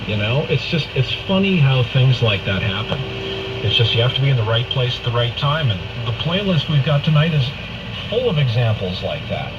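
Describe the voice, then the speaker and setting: A man's voice: medium pitch, very animated, moderate pace, lo-fi sound. American man on very noisy shortwave radio. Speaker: pirate radio DJ and host. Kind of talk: casual late-night radio host chat. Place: shortwave broadcast played on an old radio console. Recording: very noisy shortwave broadcast through antique radio. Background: heavy static and radio hiss.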